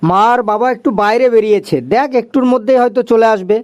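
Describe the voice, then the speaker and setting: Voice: mid-range voice, fast, a little mellow, close to an everyday voice. A performer voicing a chatty, agreeable schoolboy in a cartoon, with a conversational, explaining manner. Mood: friendly, curious. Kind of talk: cartoon dialogue.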